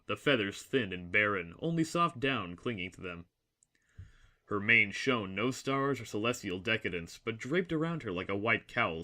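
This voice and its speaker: Man, story narrator, slightly low pitch, bright forceful tone, measured, solemn, literary scripted delivery.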